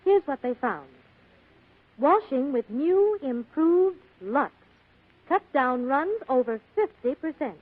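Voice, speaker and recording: A woman's voice: sits high, animated, takes it slowly. An American woman reading a scripted 1940s radio advertisement as a commercial announcer. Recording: tinny vintage radio broadcast.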